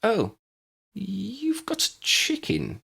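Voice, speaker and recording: A man's voice: high-pitched, huge pitch swings, extremely slow, creaky, sharp tone, breathy edge, pitched above the performer's own voice, exaggerated voice. A man voicing an anxious, faltering elderly neighbour woman in an audiobook, fretful and polite. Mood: worried. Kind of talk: audiobook character voice.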